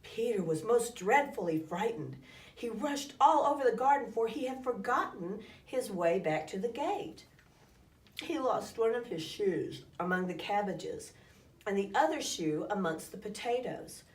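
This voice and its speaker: A woman reading a children's story aloud in an expressive, dramatic storytelling style. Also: medium pitch. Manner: dramatic, acts out calls and sound effects. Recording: echoey room, distant microphone.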